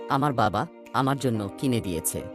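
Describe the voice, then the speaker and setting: With music playing clearly behind, a man plays a boastful cartoon boy chatting casually with his pals. He is slippery and evasive, always bluffing in a mid-pitched voice. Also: lively intonation, brisk, lightly acted. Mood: bragging, evasive. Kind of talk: Bengali cartoon dialogue.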